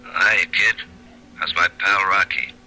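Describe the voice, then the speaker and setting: An actor playing an easygoing underworld boyfriend on the telephone in a 1940s radio crime drama. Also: male voice, sits low, steady tone, slow pace, pushed and bright, soft background music, heavily acted. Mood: cool, easygoing.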